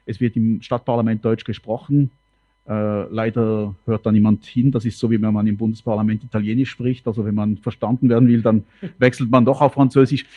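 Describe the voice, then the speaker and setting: A middle-aged man, bilingual with French as his mother tongue, speaking in a halting, measured way in a panel discussion. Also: on the low side, flat delivery, no room sound. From canton of Fribourg, Switzerland. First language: French, grew up bilingual with German. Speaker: city politician on a panel. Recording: slightly dull, dry sound.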